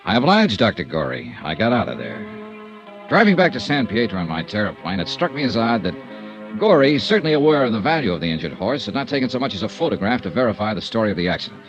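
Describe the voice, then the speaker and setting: An American actor playing a dry, persistent insurance investigator in a 1950s radio detective drama, clipped and probing. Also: male voice, fairly low pitch, sing-song, quick pace, over music. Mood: dry narration. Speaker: lead actor and narrator. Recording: dull vintage radio recording.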